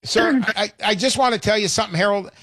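Man, 60s, call-in radio host, emphatic pitchman, high and dry. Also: fast, dry recording. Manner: energetic, salesy, emphatic. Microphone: studio microphone.

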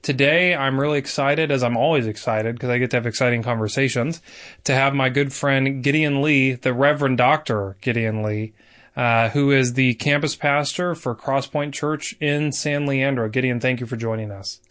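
A man's voice: mid-range voice, fairly even intonation, normal speed, fairly bright tone. A man hosting a podcast, chatty and upbeat, joking as he introduces his guest. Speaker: podcast host. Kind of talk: casual podcast chat.

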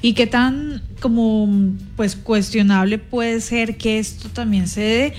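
A woman's voice: fairly high pitch, fairly even intonation, takes it slowly, a little mellow, faint music behind. Casual female radio co-host. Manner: casual, conversational. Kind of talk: morning radio hosting.

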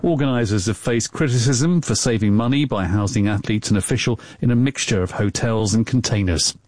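A man's voice: fairly low pitch, brisk, darkish tone. A man reading a scripted radio news bulletin as a newsreader.

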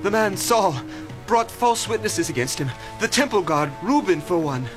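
A distraught believer in an audio drama. His high-pitched voice is earnest and halting, full of emotion, with music playing behind. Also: lively intonation. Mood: grieving. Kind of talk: dramatized radio play acting.

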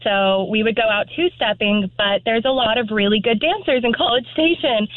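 Higher-voiced woman on a tinny phone line. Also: moderate pace, no room sound. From Texas, United States. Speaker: radio call-in guest. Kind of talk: casual anecdote on a phone-in.

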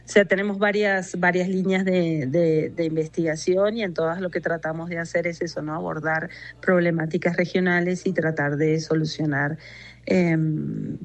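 A middle-aged woman with an Argentine accent giving a conversational interview as a research guest, explaining haltingly and often checking with little tag questions. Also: on the low side, steady tone. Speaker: interview guest, university research executive and physicist.

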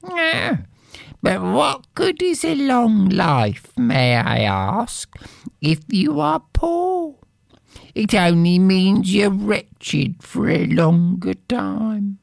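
A woman's voice: sing-song, extremely slow, creaky, no room sound, studio quality, heavily acted. A woman voicing a poor, fretful peasant wife who wails and moans melodramatically. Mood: complaining. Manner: shrill and melodramatic, moaning. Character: poor, wailing peasant wife, middle-aged, fretful and dramatic.

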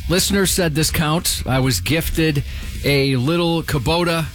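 A man's voice: on the high side, measured pace, soft background music. A man co-hosting a morning radio show, joking and boasting in a playful way. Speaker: radio co-host. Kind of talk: casual morning radio banter.

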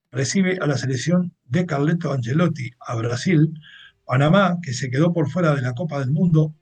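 A man talks through the coming football fixtures like a casual sports host. His voice sits slightly high and sounds husky. He fills gaps with "eh" and corrects himself as he goes. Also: a little mellow. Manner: chatty, frequent "eh" fillers, self-correcting. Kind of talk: casual sports commentary to camera.

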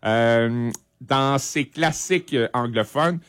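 A middle-aged man with a Quebec French accent, presenting a music segment on radio in a conversational, halting, informative way. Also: medium pitch, clean tone, no room sound, clean studio sound.

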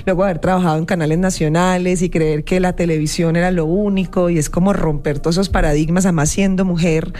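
A woman's voice: fairly low pitch, fast talker, few pauses. A woman from Cali with a Colombian accent, chatting casually as a podcast guest in an interview. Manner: animated, laughing, uses vos.

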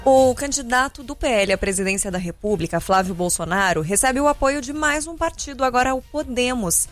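Brazilian lady presenting radio news, highish voice, music clearly behind her. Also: animated, brisk. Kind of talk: news presenting with casual banter.

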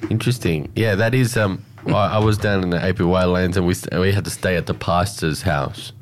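Deep-voiced Australian man, chatty radio host. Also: fairly quick, slight background noise. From Alice Springs, Australia. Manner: relaxed, chatty storyteller with frequent fillers. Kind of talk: casual radio chat.

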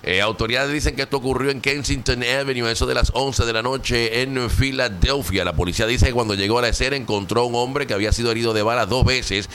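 A man's voice: mid-range voice, fast, few pauses, fairly bright tone, slight background noise. A Puerto Rican man hosting a talk show, reading local news in a chatty way, with many fillers and English words mixed in. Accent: Puerto Rican Spanish. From Puerto Rico.

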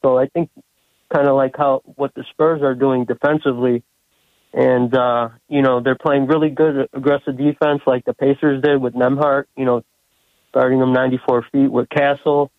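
A tinny, dry phone line carries a man calling into a sports show. His pitch moves very little. He speaks casually and haltingly, leaning on filler phrases. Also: level tone, no room sound. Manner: hesitant, says "you know" constantly. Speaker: caller to a sports radio show. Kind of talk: casual basketball breakdown. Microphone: phone call.

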